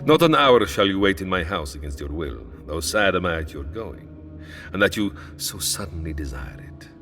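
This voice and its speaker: Sinister aristocratic vampire count, low-voiced, swooping, mock-courteous, for gothic audio drama with soft music. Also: male voice, very animated, normal speed. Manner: grave, mock-courteous, theatrical.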